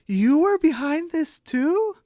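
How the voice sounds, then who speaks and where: A woman voicing an earnest, excitable young female chicken in a children's radio play. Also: sits high, slow, dry recording, studio quality, pitched above the performer's own voice, heavily acted. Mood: hurt, reproachful.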